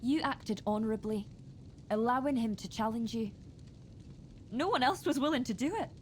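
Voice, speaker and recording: A grieving, bitter widow from a fantasy role-playing game, performed by a woman. Her voice sits a little high and carries a sharp tongue under the sorrow, defiant even in grief. It is clean game dialogue. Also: normal speed, a little hiss or hum, lightly acted. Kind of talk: video game voice acting.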